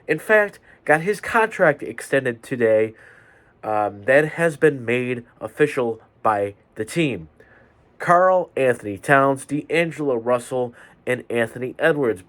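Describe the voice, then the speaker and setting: Slow-talking stuffy-nosed man. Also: medium pitch. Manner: measured, deliberate delivery. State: stuffy nose. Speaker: podcast host. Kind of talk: solo sports podcast preview. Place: home bedroom with fans running.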